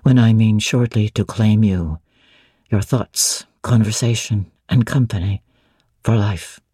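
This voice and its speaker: A woman voicing a brooding, teasing middle-aged gentleman, commanding and whimsical, in a dramatic audiobook reading of a classic novel. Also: very deep voice, measured pace, slightly rough, dry recording, clean studio sound, voice pushed down, exaggerated voice. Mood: brusque, possessive. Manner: whimsical, authoritative, theatrical.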